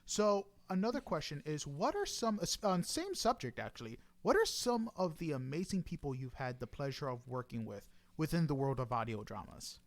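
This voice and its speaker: A man's voice: a little high, big pitch swings, moderate pace, few pauses, no echo. A man hosting a casual interview, agreeable and a little hesitant in how he talks. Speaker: interview host.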